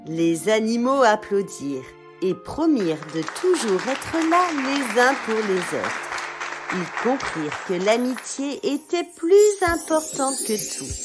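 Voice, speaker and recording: A woman tells a children's story in a fairly high, very animated voice. She takes her time with each phrase, and music plays clearly behind her. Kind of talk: expressive scripted children's storytelling.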